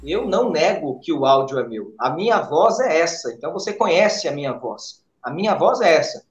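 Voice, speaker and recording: Male pastor interviewee, Brazilian accent, hesitant and halting, dull lo-fi sound with strong room echo. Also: on the high side, sing-song. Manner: hesitant, halting, with repeated verbal tags. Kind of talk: spontaneous interview answers. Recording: echoey, dull lo-fi interview audio.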